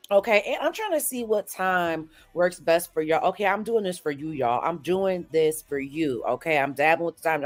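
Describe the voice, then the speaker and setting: A woman's voice: medium pitch, darkish tone. A woman hosting a morning show, stuffy from a cold, chatting through the news and checking in with listeners. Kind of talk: casual news recap chat.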